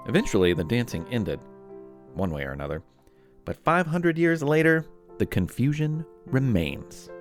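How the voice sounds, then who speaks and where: A man hosting a children's show, narrating from a script in a playful, theatrical way with wry asides. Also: fairly low pitch. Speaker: podcast host.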